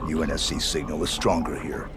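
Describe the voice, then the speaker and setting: A man playing a stoic, unflappable armored supersoldier in a game, talking in terse, deadpan military phrases. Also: a bass voice, fairly quick, noisy background. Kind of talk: cinematic game dialogue.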